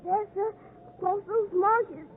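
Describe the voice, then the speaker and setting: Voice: extremely high pitch, slow pace. A young performer playing a timid, polite small orphan boy, meek and curious, in 1950s radio drama style. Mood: frightened. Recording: tinny, noisy 1950s radio broadcast.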